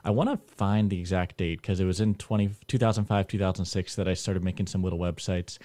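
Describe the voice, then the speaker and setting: A man from Idaho, a freelance panel guest, talking softly with some hesitation. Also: deep voice, fairly even intonation, fast, no room sound. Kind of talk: casual panel chat.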